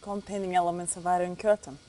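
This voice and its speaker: A woman's voice: on the low side, flat delivery, fast talker, mellow, natural voice. A woman playing an efficient Soviet military aide in a game cutscene, giving a formal, clipped briefing. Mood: businesslike. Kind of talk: dramatic game cutscene acting.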